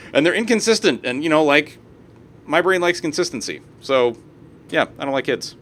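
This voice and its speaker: Profane ranting man with lively pitch. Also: normal speed, a little hiss or hum. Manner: profane, ranting, reads posts aloud with asides. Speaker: streamer. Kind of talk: live stream commentary on reader posts.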